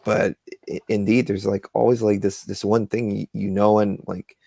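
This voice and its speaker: A man's voice: fairly low pitch, flat delivery, moderate pace, gravelly, warm tone, no room sound. A man in a casual chat, asking questions with hesitant restarts.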